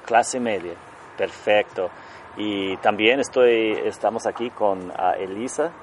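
A man hosting a travel podcast, speaking casual second-language Spanish with many pauses and searches for words. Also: fairly low pitch, a little hiss or hum. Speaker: podcast host. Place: living in China.

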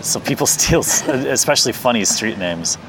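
A man's voice: mid-range voice, lively intonation, moderate pace. A man in casual conversation, asking questions in a laid-back, curious way. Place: outdoors in a city. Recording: noisy city street with traffic.

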